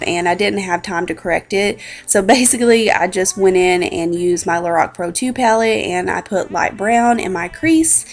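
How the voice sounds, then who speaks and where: Young woman with a slightly scratchy, congested voice. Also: mid-range voice. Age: 20s. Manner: chatty, informal. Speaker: beauty vlogger, host. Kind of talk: casual makeup tutorial narration. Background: background music.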